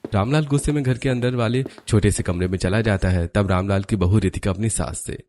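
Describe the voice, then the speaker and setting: A man's voice: on the low side, a little fast, darkish tone, a little hiss or hum. A man narrating a scripted cartoon story.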